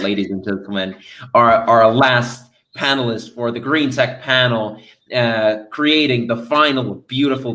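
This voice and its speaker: A man's voice: mid-range voice, sharp tone. A man moderating an online panel over a video call, upbeat and chatty with playful asides.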